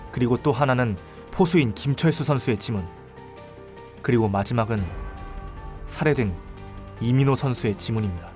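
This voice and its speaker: A man plays a young student detective in an audio drama, relentless and self-possessed. His mid-pitched delivery is deliberate and formally polite, with dramatic weight. Soft music plays behind him. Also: natural voice. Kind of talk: audio drama acting with first-person narration.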